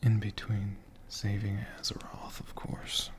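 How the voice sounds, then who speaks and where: A man's voice: on the low side, monotone, close to an everyday voice. A man voicing a friendly, humble, bookish dragon in a whispered ASMR storytelling roleplay. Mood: hushed, friendly. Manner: soft whispering, slow and unhurried.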